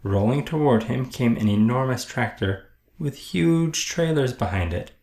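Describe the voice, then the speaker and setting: A man reading a children's story aloud in an expressive, deliberate way. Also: mid-range voice, roomy sound. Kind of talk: children's read-aloud narration.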